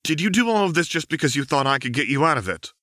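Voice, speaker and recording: Audiobook role: tense, reproachful female mage, read by a man, clipped scolding delivery, raised pitch, dry booth sound. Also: lively intonation, quick pace, sharp tone, no echo. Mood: exasperated. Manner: tight, terse, scolding. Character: tense, exasperated woman mage, terse and reproachful. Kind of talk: audiobook character voice.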